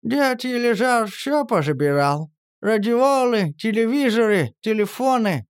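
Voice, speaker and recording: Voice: medium pitch, animated, measured pace, smooth, no room sound, studio quality, voice pushed up. A storyteller voicing a worried, resigned village aunt who talks with a sigh. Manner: sighing, plaintive.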